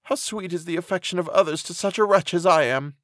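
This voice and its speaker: A gentle, doomed young woman from a classic novel, played by a male reader, pitched well up from a man's talk. She is mournful and resigned, speaking with pious tenderness in formal, old-fashioned phrases. The recording is dry and close. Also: quick pace, no room sound. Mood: grateful, sorrowful. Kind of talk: dramatic audiobook character reading.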